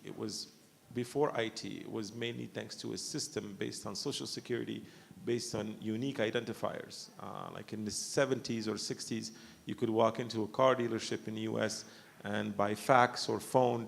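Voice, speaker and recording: A man's voice: lowish voice, vocal fry, big-room sound, a little hiss or hum. A man from Morocco speaking on a conference panel, thoughtful and full of restarts and fillers. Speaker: panelist, company founder. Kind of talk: panel discussion. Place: university conference hall.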